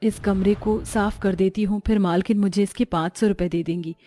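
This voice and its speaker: A woman playing a gentle, worried, patient mother and wife in a moral-story cartoon. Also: medium pitch, steady tone, normal speed, faint music behind, natural voice.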